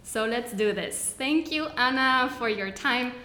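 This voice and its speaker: A woman's voice: on the high side, unhurried, pushed and bright, echoey room. A woman hosting a podcast, opening the episode and introducing her guest in a friendly, scripted way. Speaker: podcast host.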